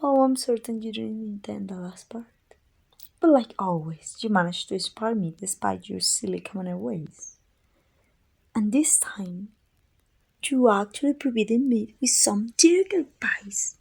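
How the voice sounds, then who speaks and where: A woman playing a dominant, haughty evil queen with a soft spot, musing imperiously in an ASMR roleplay. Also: mid-range voice, a little slow. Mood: condescending approval. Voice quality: husky, breathy with whispered edges. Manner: imperious, musing, teasing condescension. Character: dominant, haughty evil queen softening toward her little helper.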